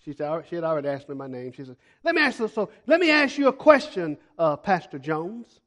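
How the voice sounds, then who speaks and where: High-voiced preacher telling folksy stories. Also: male voice, very animated, a little fast. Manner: folksy storyteller, repeats phrases for emphasis, swings from loud to hushed. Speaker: missionary preacher. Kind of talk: sermon with storytelling.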